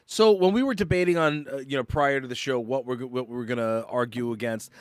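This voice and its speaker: A man co-hosting a live podcast, talking casually and haltingly, repeating words while searching for names. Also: mid-range voice, smooth, dry recording, studio quality. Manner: halting, repeats words, asks himself what to call things. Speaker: podcast co-host. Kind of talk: casual debate chat.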